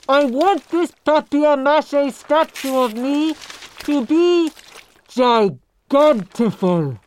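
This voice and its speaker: A woman playing a vain, pompous art teacher in a children's audiobook, with grandiose, drawn-out delivery and mangled fancy words. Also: high-pitched, expressive, a little mellow, a little hiss or hum. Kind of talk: theatrical children's audiobook character acting.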